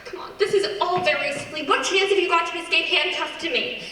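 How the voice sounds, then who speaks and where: A woman's voice: very high voice, brisk, bright, forceful tone. An actress playing an indignant, plucky woman captive who protests, in a radio play. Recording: echoey hall, far from mic, noisy.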